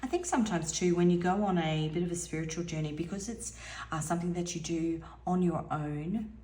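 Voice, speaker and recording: Soft-spoken hoarse woman reading tarot. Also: on the low side, a little fast, echoey room. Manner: musing, soft-spoken, drifts into near-whispers. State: slightly hoarse, losing her voice. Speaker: tarot reader.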